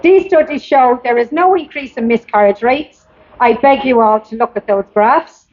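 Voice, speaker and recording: An Irish woman with a high voice delivers a prepared address as a campaigner. She reads deliberately and with emphasis, at times tripping over the script. The recording is somewhat dull and low in fidelity. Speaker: campaigner giving a public address. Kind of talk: prepared speech read aloud at a protest. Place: outdoors at a public rally.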